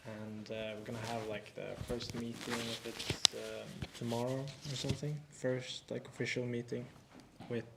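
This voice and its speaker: Brief: young male student, monotone, hesitant, roomy echo, faint hum. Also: medium pitch, normal speed, vocal fry, hardly any pauses. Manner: even, hesitant, many fillers. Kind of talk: casual live stream chat. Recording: echoey room, faint background hum.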